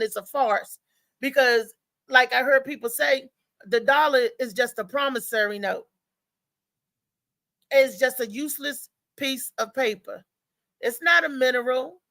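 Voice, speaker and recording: A woman hosting a live talk show with casual, conversational commentary, often halting and repeating words as she goes. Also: high voice, fairly bright tone, dry recording.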